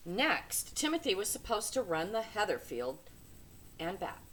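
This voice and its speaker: Animated, unhurried woman reading a children's story. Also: medium pitch, slight background noise, low-quality recording. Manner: dramatic, emphatic phrasing for young listeners. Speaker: read-aloud storyteller. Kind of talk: expressive children's storybook narration.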